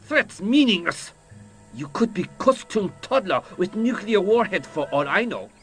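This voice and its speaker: An actor playing a suspicious, pompous ninja cult quizmaster in a video game, speaking clipped broken English with a mock Japanese accent. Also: male voice, high voice, big pitch swings, measured pace, a bit bright, soft background music, heavily acted. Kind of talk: video game cutscene acting.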